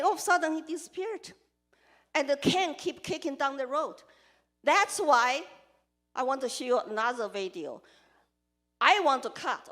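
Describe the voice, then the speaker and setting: High-voiced woman with a Chinese accent. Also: fairly bright tone. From China. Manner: earnest, emphatic, lists points in short phrases. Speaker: congressional candidate. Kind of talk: campaign speech to a live audience.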